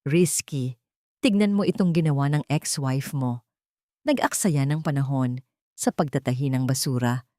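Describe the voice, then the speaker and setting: A woman's voice: very deep voice, expressive, normal speed, mellow, no echo, studio quality. Woman acting a spiteful, sweetly venomous mistress. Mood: sneering. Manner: syrupy sweet, sneering, condescending.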